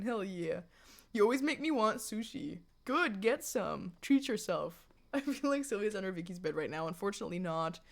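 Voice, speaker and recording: A woman in her twenties chatting on a livestream from a home room into a desk condenser mic. She answers viewer chat casually and laughs often. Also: mid-range voice. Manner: giggly, laughs often, jokey and animated. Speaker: streamer. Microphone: USB condenser desk mic.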